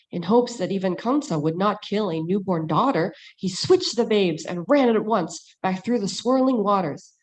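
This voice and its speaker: A woman narrating a sacred story in rhyming verse with an even storytelling cadence. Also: mid-range voice.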